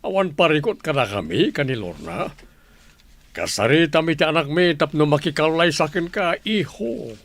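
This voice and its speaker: A man's voice: fairly high pitch, expressive, normal speed, a bit bright. An actor playing an insistent, pushy father in a radio drama. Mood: agreeable. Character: insistent father pushing his daughter to marry. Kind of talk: Ilocano radio drama acting.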